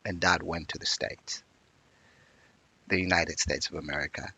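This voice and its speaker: A man's voice: low-pitched, steady tone, moderate pace, vocal fry, pushed and bright, breathy edge. A man, telling his life story as an interview guest in a reflective, earnest way. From Uganda.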